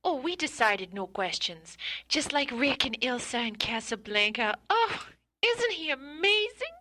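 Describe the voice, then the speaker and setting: Gushing, high, bright-voiced lovestruck older lady played by a woman. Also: big pitch swings, a little slow, a little hiss or hum, full character voice. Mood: smitten, delighted. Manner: effusive, delighted, dramatic. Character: gushing, lovestruck older small-town lady, sweetly cheerful. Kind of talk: audio drama acting.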